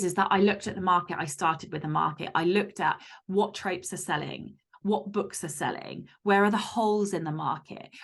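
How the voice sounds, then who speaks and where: Female podcast guest, author type. Lowish register, candid, emphatic delivery in casual conversation. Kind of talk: casual interview chat.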